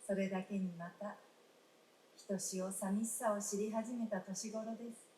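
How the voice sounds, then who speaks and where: An actress playing the spirit of a gentle young wife in a live radio drama, speaking tenderly in polite, old-fashioned feminine phrasing. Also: female voice, medium pitch, fairly even intonation, moderate pace, close to an everyday voice. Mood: wistful. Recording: roomy stage, distant mic, faint noise.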